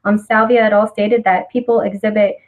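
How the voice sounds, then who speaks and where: A woman in her twenties from the United States, a student reading out a scripted research presentation in a measured way. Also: medium pitch, level tone, narrow, tinny audio. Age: 20s.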